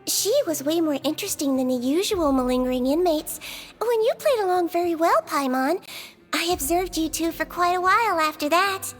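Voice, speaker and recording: A woman voicing a small, kindly, gently crafty nurse in an anime-style game, with a sweet girlish voice and a coaxing manner. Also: very high voice, moderate pace, soft background music. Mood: playfully crafty. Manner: gentle, coaxing, matter-of-fact.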